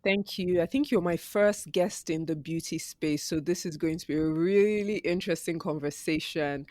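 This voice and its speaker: Measured woman podcast host, dry sound. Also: fairly low pitch, darkish tone, no room sound. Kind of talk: scripted intro, then interview questions.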